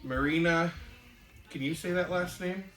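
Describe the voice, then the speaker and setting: Guy rambling through a story on his podcast, bright voice, lots of ums. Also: fairly high pitch, measured pace, rough recording. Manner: rambling storyteller with many fillers. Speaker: podcast co-host. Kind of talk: casual chat.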